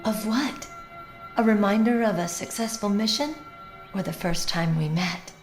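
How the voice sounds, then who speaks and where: A woman's voice: medium pitch, measured pace, close to an everyday voice. A woman playing a tender, curious young female companion in a dramatic video game scene. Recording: music under the voice.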